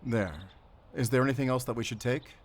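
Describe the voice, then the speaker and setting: A man's voice: medium pitch, steady tone, a little fast, heavy background noise, natural voice. A man playing a determined, defiant investigator on the run in a cinematic audio drama, speaking with intensity. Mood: focused, deliberate. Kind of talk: cinematic audio roleplay acting.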